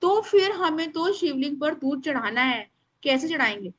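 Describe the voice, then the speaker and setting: A woman with a loud, strong voice, teaching an informal live online class in an instructive, emphatic way, mixing in English words. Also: high voice, animated, moderate pace, slight background noise. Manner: instructive, emphatic, addresses listeners by name; mixes English words into speech. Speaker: astrology remedies teacher.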